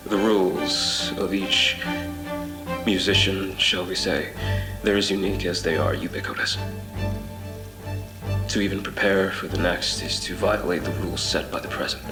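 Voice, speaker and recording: An actor playing a haunted, obsessive traveler between twisted worlds in a horror audio drama, speaking in a measured, brooding, literary way with halts. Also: male voice, sits low, fairly even intonation, natural voice. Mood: weary, uneasy. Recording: reverberant voice over music. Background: music.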